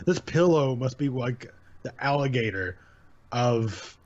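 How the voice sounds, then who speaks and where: A man's voice: mid-range voice, animated, a little slow, dull audio. A man hosting a casual chat about horror stories, rambling, repeating his words and laughing often. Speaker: channel host.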